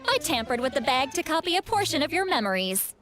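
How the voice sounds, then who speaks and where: High-voiced, fiery scolding granny leader. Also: female voice, sing-song, fast talker, a bit bright. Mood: stern. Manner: bossy, teasing, blunt. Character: fiery, scolding woman leader, a tough granny with hidden fondness. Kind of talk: video game voice acting. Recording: music playing behind the voice.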